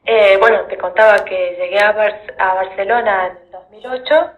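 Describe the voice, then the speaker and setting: A woman's voice: medium pitch, normal speed. A woman novelist speaking as a podcast guest over a tinny, narrow connection. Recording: tinny narrow-band phone-like line.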